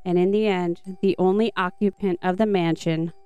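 A woman's voice: on the low side, steady tone, moderate pace, warm tone, smooth, no room sound, highs cut off, faint music behind. A woman hosting and reading scripted stories aloud for children. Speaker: podcast host and story reader.

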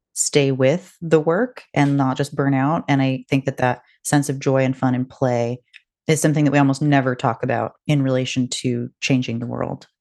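A woman's voice: rumbling low, darkish tone. A woman hosting a podcast in a casual, conversational way, affirming her guest and engaging with the ideas. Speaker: podcast host.

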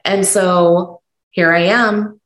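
Croaky-voiced woman hosting a podcast. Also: fairly low pitch, steady tone, extremely slow, reverberant, poor audio. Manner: earnest, encouraging, stumbles over words. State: croaky voice from being sick. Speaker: podcast host. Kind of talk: solo podcast monologue, unscripted.

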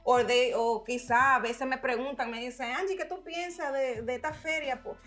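A woman's voice: sits high, normal speed, soft background music. Brief: woman writer, chatty interview storyteller. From Dominican-American. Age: adult, mother. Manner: animated storyteller, drops into English phrases. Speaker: guest writer and community organizer. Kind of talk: casual interview chat.

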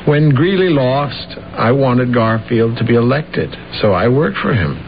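A man's voice: mid-range voice, lively intonation, a little slow, creaky. An actor playing an aggrieved, self-important, deluded man in an old-time radio drama. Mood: grandiose, insistent. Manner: self-important, bitter, insistent. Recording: muffled, noisy old radio drama recording.

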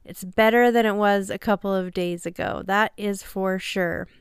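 An upbeat woman podcast host chats casually and pokes fun at herself, her voice a touch nasal from a lingering cold. Her tone is exceptionally clean and smooth, recorded close on a podcast mic in a very dry space with no room sound. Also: medium pitch, steady tone, normal speed, no room sound. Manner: upbeat, chatty, self-deprecating.

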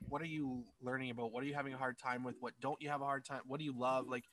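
A man's voice: medium pitch, level tone, very fast, fairly bright tone. A man hosting a casual interview, rambling and joking at himself. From Scotland. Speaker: host.

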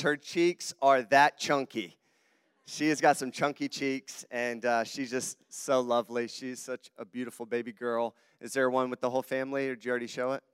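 A man's voice: mid-range voice, a bit bright, smooth, clean studio sound. A man with a Southern US accent preaching a sermon in a casual, earnest way, often turning to the congregation. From southern United States. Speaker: guest preacher. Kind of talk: sermon with conversational asides. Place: church auditorium. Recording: long hall reverb on the voice.

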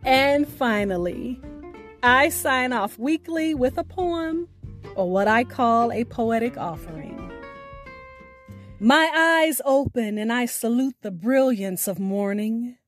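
A woman hosting a podcast, reading scripted announcements in a deliberate, carefully enunciated way. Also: a little high, animated, faint music behind. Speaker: podcast host.

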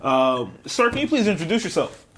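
A man hosting a podcast interview, speaking in drawn-out, emphatic phrases. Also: fairly high pitch, sing-song, a bit bright. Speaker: podcast host. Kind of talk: casual interview.

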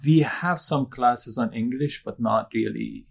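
Gravelly, husky man. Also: mid-range voice. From Iran. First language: Turkish. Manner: dry joking, halting restarts. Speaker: guest. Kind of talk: candid interview conversation.

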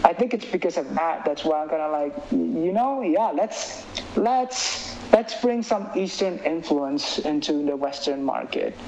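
Higher-voiced Indonesian-accented man, rambling. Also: unhurried, a little hiss or hum, rough recording. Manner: animated, rambling, peppers talk with "like" and "you know". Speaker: filmmaker and writer being interviewed. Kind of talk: casual interview chat.

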